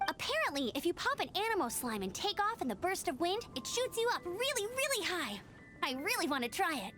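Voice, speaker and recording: Game casting: young female knight scout, high lively voice, earnest, upbeat, chatty. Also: a little fast, soft background music, full character voice. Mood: excited, confiding. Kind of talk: video game voice acting.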